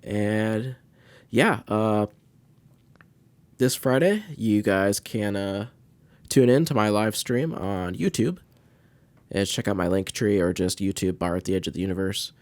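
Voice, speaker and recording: Deep-voiced man, casual podcast chat. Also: a little slow, pauses often, darkish tone, no echo. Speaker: podcast host. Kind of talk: casual unscripted chat to listeners.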